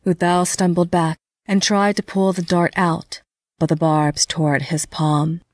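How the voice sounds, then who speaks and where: Low-voiced woman narrator, very dry sound. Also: level tone, unhurried, a little mellow, dry recording. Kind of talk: scripted narration of a dark fantasy audio drama.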